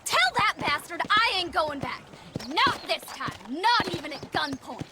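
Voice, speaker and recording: An actress playing a furious, sharp-tongued frontier wife with an American Western accent in a video game. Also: female voice, extremely high pitch, lively intonation, unhurried. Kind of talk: game character acting. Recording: very noisy outdoor scene.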